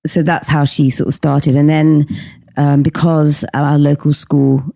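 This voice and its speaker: A woman's voice: sits low, level tone, a little slow, warm tone, dry recording. A middle-aged woman, chatting as a podcast guest, hesitant with many ums. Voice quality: husky voice. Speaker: podcast guest, actress and singer. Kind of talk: casual interview chat.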